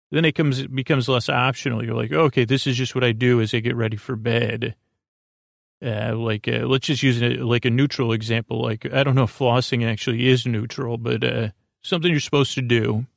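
A man hosting a bedtime podcast in a rambling, halting monologue, with a creaky voice and sentences that often trail off. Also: medium pitch, no echo, studio quality. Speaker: podcast host.

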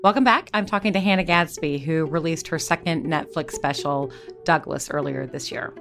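A woman's voice: deep voice, normal speed, vocal fry, fairly bright tone, faint music behind. A woman hosting an interview, affirming her guest and asking probing questions. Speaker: radio interview host.

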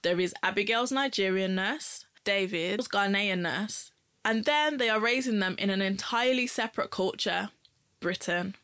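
A woman narrating a scripted segment in an even, measured way. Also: mid-range voice.

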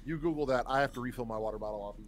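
A man's voice: medium pitch, racing. A man co-hosting a podcast, tossing off jokes while away from the microphone. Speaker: podcast co-host. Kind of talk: casual chat.

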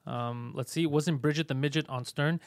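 A man whose first language is Greek, hosting a podcast in a casual, crude chat, loud, sarcastic and mocking, swearing often. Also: on the high side, moderate pace, no room sound. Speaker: podcast host.

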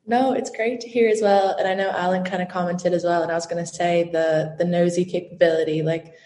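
A woman's voice: fairly low pitch, fairly even intonation, fairly quick, warm tone. A woman hosting a live online interview in a friendly, chatty way, with plenty of fillers. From not Ireland. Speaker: interview host. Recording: roomy echo.

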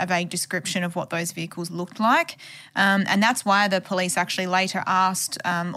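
A woman's voice: on the low side, sharp tone. A woman journalist giving a conversational podcast account of a case. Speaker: journalist who covered the case.

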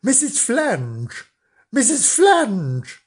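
A storyteller voicing a group of eager small children calling out in a high, sing-song way. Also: huge pitch swings, drawn-out words, slightly rough, exaggerated voice.

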